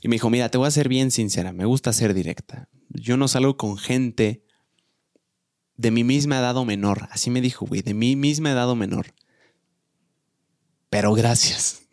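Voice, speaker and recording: A man in his twenties with a Mexican accent talks like a podcast co-host among friends. His delivery is colloquial and full of slang, at a middle pitch. The sound is dry and close. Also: moderate pace, pauses often, darkish tone, no room sound. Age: 20s. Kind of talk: casual chat, anecdote telling.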